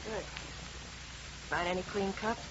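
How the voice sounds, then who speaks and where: A woman's voice: medium pitch, takes it slowly, natural voice. Woman acting a blunt, world-weary dame, noisy recording. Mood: flat, offhand. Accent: American. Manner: brusque, deadpan, slangy. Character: blunt, world-weary, slovenly young wife, tough dame. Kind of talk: 1950s radio detective drama acting. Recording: noisy, muffled old radio recording.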